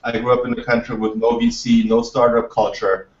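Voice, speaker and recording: A man's voice: mid-range voice, little pitch movement, a little fast. A man from Austria, talking as an entrepreneur guest, keen and full of restarts and repeated words. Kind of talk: interview answers. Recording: dull, roomy, distant from the mic.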